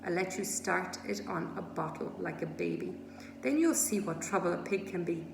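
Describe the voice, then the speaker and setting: A woman voicing a gentle but firm farmer father from a storybook, measured and wryly indulgent. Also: lowish voice, vocal fry, reverberant, over music, low-quality recording.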